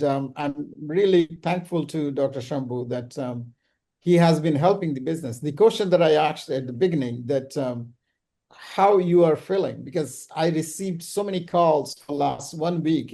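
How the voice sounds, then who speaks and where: A man hosting an online business summit, talking casually and haltingly with many ums and uhs over a video call. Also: a little high, gravelly, dark tone. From Bangladesh. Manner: hesitant, full of ums and uhs. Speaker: summit host.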